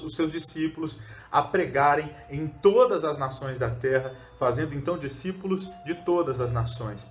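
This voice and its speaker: A Brazilian man, a pastor, preaching a short sermon with rhetorical questions and fillers. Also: a little high. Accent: Brazilian Portuguese. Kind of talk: short recorded sermon teaching.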